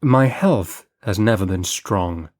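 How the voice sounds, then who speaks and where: A man reading a classic short story as an audiobook narrator, in measured, deliberate storytelling. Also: lowish voice, expressive, a little mellow. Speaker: audiobook reader.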